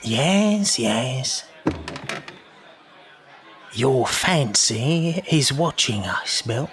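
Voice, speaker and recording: An actor playing a wily old leader of child thieves in an audio drama, with an English accent, a gravelly voice and a theatrical, ingratiating manner. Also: male voice, mid-range voice, sing-song, a bit bright, full character voice. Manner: theatrical, ingratiating, drawn-out delivery.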